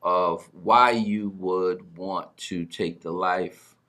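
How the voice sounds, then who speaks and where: A man's voice: sits low, steady tone, extremely slow, pushed and bright. A middle-aged man hosting a talk show, speaking conversationally and earnestly, often repeating words as he goes. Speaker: broadcast host.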